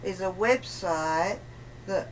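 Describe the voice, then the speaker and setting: A woman giving a casual, rambling account in a chat, halting and often repeating herself. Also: on the low side, crowd noise. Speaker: interview guest. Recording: noisy amateur recording of a chat.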